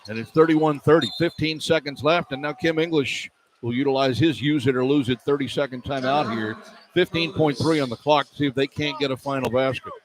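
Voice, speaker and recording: A man's voice: mid-range voice, fairly quick, rough recording. A man working as a radio play-by-play announcer, calling live basketball in clipped running phrases from a courtside spot. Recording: live arena broadcast, clear background noise. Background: arena ambience and noise.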